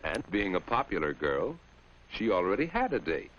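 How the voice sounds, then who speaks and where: A muffled vintage soundtrack carries a knowing film narrator with a lowish voice. His delivery is didactic and pointed, with lively swings of pitch, in scripted 1950s style. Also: normal speed. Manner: pointed, didactic, addresses the character directly. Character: knowing, probing male educational film narrator.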